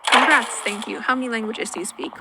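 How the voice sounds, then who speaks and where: A woman's voice: on the high side, steady tone, quick pace, vocal fry. Young woman, from Finland, native Finnish speaker, dry, self-deprecating, vlog Q&A, noisy recording. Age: young adult. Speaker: YouTuber. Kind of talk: Q&A vlog voice-over.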